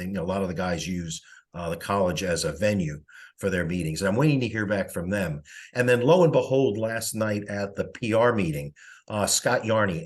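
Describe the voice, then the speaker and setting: A man giving a rambling, conversational update as a coordinator on an online meeting call. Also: on the low side. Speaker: publicity coordinator reporting at a committee meeting. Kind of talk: informal spoken report on a teleconference.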